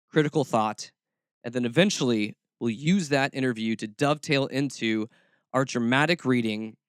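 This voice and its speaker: A man's voice: medium pitch, clear, resonant tone, no echo, studio quality. A man hosting a show, introducing segments and asking interview questions in a conversational way. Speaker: podcast host interviewer.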